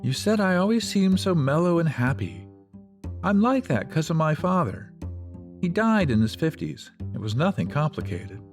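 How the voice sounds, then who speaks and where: An actor playing a mellow, patient man in a radio play, earnest and reassuring. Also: a little high, big pitch swings, normal speed. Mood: calm, earnest. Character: mellow, patient man calmly minimizing stress. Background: background music with guitar.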